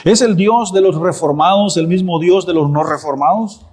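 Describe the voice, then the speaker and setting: Man, thirties, pastor preaching in a church, fairly high voice, rhetorical questions with firm answers. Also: moderate pace, a little mellow, breathy edge. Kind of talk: sermon.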